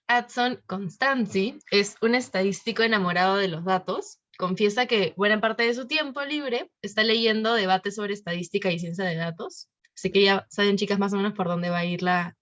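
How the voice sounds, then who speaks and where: A woman's voice: mid-range voice, a bit bright. Woman host introducing a guest speaker. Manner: chatty, informal. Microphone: video call. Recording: dull video call audio.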